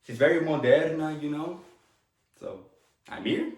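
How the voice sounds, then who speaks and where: A chatty immigrant dad with a Latin American Spanish accent in an echoey room. His slightly high voice swoops expressively as he rambles and halts through fond stage-monologue reminiscence. He is tender and talkative, a native Spanish speaker. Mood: sheepish, chatty. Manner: animated, rambling, halting, with small chuckles. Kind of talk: dramatic monologue, stage acting.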